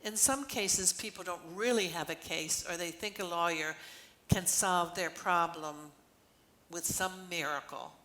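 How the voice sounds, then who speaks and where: A woman's voice: lowish voice, unhurried, pushed and bright, low-quality recording. An older woman reading the part of an earnest, explanatory legal clinic volunteer in an amateur play. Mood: earnest explaining.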